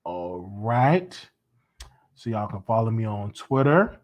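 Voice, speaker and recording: A man hosting a casual live call-in show, chatty and animated, his voice worn from talking. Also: slow, lo-fi sound. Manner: animated, pitch jumps high when excited. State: losing his voice. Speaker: host.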